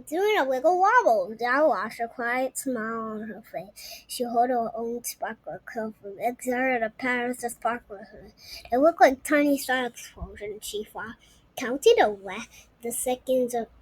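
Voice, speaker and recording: A young girl reading a storybook aloud, haltingly and often repeating words. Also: fairly high pitch, slightly rough, dry recording, low-quality recording. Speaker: story reader.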